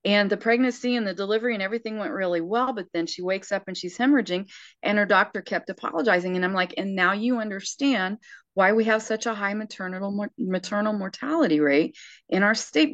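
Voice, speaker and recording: A woman's voice: mid-range voice, brisk, a bit bright, no echo, dull audio. A sixty-year-old woman speaking earnestly and emphatically in casual conversation, often restarting her sentences. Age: 60s.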